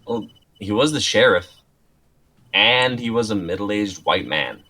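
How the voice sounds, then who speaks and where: A man's voice: fairly low pitch, lively intonation, unhurried, bright, forceful tone, exaggerated voice. A man playing a skeptical, reluctant sidekick in a dramatic script reading, with a dry, protesting, colloquial delivery. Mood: defensive. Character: skeptical, reluctant male sidekick of amateur sleuth.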